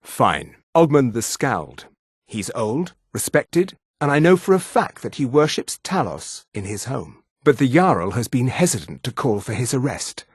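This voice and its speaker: An actor voicing a haughty, sneering high elf official in a fantasy video game, speaking in a pompous, condescending, precise manner. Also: male voice, very animated, a little slow, husky, no room sound, clean studio sound. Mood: stern, conspiratorial. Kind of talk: scripted fantasy game dialogue.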